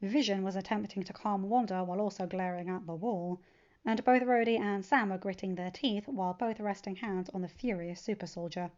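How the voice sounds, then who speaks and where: A woman narrating a fan-fiction story as an expressive audiobook-style reading. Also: medium pitch, fairly quick, lo-fi sound. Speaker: podfic narrator. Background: background music.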